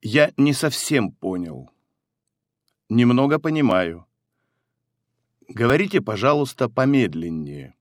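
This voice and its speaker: A man reading phrases for a language course, one by one with pauses, in a careful, clearly enunciated delivery. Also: mid-range voice, big pitch swings, no room sound, clean studio sound. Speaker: phrasebook reader.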